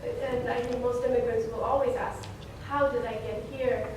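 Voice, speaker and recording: A woman born in Ethiopia, a novelist on a panel, answering audience questions in a reflective, hesitant way with many pauses. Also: a little high, steady tone. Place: conference room. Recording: echoey room, far from mic, noisy.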